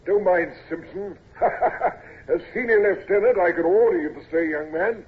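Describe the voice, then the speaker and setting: A bluff husky naval lieutenant. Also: male voice, high-pitched. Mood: hearty. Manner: bluff, coaxing, brisk. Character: hearty senior male naval lieutenant. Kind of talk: 1940s radio acting. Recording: tinny phone-like old radio recording.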